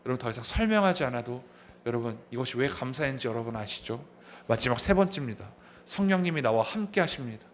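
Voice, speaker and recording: A man's voice: medium pitch, lively intonation, fast, a little hiss or hum. A man in his thirties preaching a sermon as a pastor, asking the congregation questions and repeating key phrases. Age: 30s. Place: church sanctuary.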